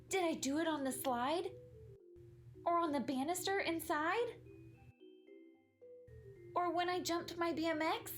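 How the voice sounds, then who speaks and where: A woman's voice: very high voice, fairly bright tone, pitched above the performer's own voice, exaggerated voice. A woman voicing a dramatic, imaginative young boy in a rhyming picture book, with exaggerated sing-song delivery. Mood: worried, dramatic. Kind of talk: animated storybook read-aloud.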